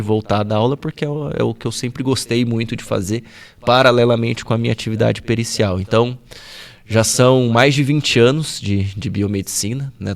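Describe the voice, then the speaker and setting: Low gravelly-voiced Brazilian man. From São Paulo state, Brazil. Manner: conversational, frequent tag questions. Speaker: guest, forensic biomedical expert. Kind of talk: relaxed podcast interview.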